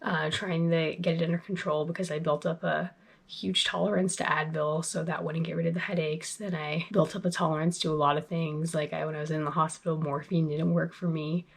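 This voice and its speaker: Husky, gravelly young woman vlogging. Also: low-pitched, brisk. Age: young adult. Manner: relaxed, chatty, lots of fillers and "like". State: recovering from a cold, sniffly. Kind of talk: casual talk to camera.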